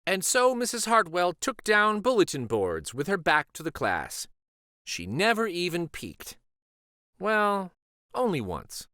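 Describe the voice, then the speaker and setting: Bright, highly animated male storybook reader. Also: measured pace, no room sound, clean studio sound. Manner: animated, dramatic emphasis. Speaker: children's storybook reader and host. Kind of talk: expressive read-aloud narration of a picture book.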